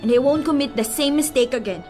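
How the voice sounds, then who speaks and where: A woman's voice: sits high, a little fast, dark tone, lightly acted. An actress playing a desperate, stubborn young Filipino woman clinging to her ex in a radio drama, pleading and insistent. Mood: pleading, determined. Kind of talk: radio drama acting in Taglish. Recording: music behind the voice.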